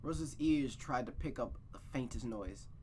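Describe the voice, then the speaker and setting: A man's voice: medium pitch, normal speed, darkish tone, slight background noise. A man reading a visual novel aloud in a suspenseful storytelling style, with playful, casual asides.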